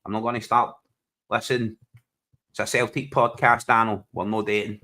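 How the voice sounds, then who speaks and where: A Glaswegian man chatting on a live football stream, with a bright, forceful voice. He teases his friend and jokes at his own expense, calling him bro and mate. His voice comes through a home desk mic. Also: on the low side, little pitch movement, normal speed. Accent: Glaswegian Scottish. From Scotland. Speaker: podcast host. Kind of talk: casual livestream banter.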